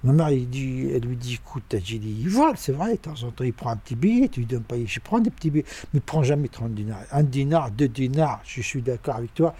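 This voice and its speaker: A man in his sixties with a Tunisian accent, telling stories about his childhood in a halting, animated way. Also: slightly rough. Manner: animated, halting, with sudden pitch jumps. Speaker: interviewee. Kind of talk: personal storytelling.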